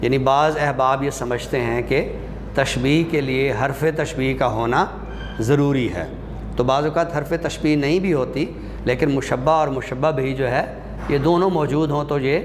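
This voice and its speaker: A man lectures at a middling pitch. He explains deliberately, with hesitant pauses. The room rings with long reverb over a faint layer of noise.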